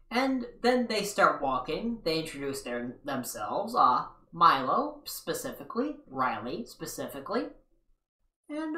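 A young man talks slowly through a casual commentary video, wandering off into sarcastic asides. He sits back from a webcam in a small living room. The room is echoey and his voice sounds distant. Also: sits high, sharp tone. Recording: echoey room, mic far off.

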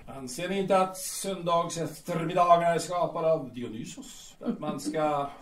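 An actor in an audio drama playing a boastful, cantankerous young absinthe-drinking poet with halting, repetitive, bombastic delivery. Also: male voice, on the high side, close to an everyday voice. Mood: grandiloquent. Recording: roomy, distant mic, faint noise.

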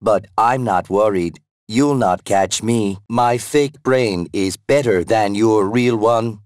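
A video game artificial intelligence with a low voice in a dry recording. It speaks in a measured deadpan, like a naive child with the pompous menace of a would-be god. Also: male voice, dry recording. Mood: smug, grandiose. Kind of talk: scripted video game acting.

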